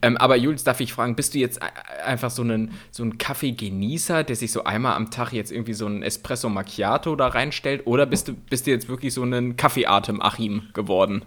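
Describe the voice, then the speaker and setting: A young man co-hosting a casual comedy podcast, excitedly spinning silly made-up scenarios. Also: mid-range voice, fairly quick, hardly any pauses. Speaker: podcast co-host. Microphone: remote call recording.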